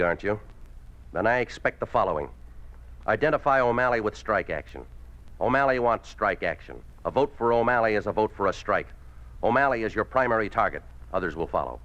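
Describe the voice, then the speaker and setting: An actor playing a ruthless, domineering communist party boss in a 1950s radio drama, speaking in a curt, commanding way. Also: male voice, fairly low pitch, a bit bright. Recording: muffled old 1950s radio recording.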